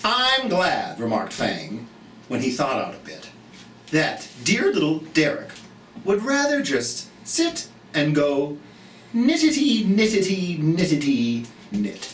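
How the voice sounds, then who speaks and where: A storyteller of unclear gender hosting a children's storytime, theatrical and expressive, speaking gently and encouragingly straight to young viewers with drawn-out emphasis. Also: mid-range voice. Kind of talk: animated children's read-aloud and gentle chat to young viewers. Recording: roomy echo, distant mic, light noise.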